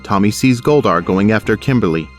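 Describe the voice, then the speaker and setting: A man's voice: lowish voice, animated, a little fast, soft background music. A man narrating an action audio drama from a script.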